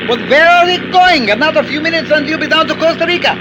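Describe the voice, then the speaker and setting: An actor playing an excitable, money-grubbing pilot sidekick in a 1950s radio drama, wheedling and deferential in manner. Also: male voice, very high voice, fast talker, crowd noise. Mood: chatty, cocky. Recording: noisy vintage radio recording, engine sounds.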